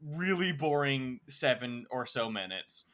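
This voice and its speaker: A man's voice: mid-range voice, animated, measured pace, fairly bright tone. A young man co-hosting a casual podcast, teasing his co-host and poking fun at himself in a dry way. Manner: dry, teasing, self-mocking.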